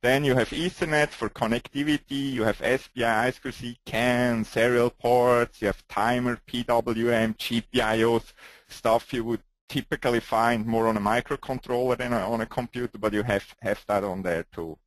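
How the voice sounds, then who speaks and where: A man with a strong Swiss accent presenting a company webinar, pausing often with "uh" fillers. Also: mid-range voice, fairly even intonation, dry recording. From Switzerland. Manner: hesitant, frequent "uh" fillers, non-native phrasing.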